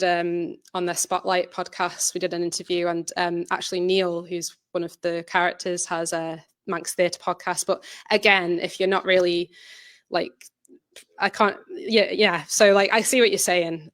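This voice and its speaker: A woman's voice: on the low side, flat delivery, a little slow, dry recording. A woman speaking as a writer at an informal question session, giving hesitant, reflective answers full of qualifications. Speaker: audio drama writer and presenter. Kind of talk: informal presentation Q&A, conversational answers.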